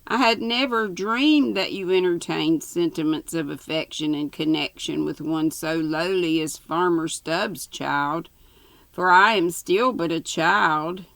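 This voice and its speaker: A woman's voice: fairly low pitch, measured pace, vocal fry, fairly bright tone, dry recording. A woman voicing a coy young farmer's daughter in love, gushing and demure in a flowery storybook melodrama. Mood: coy delight.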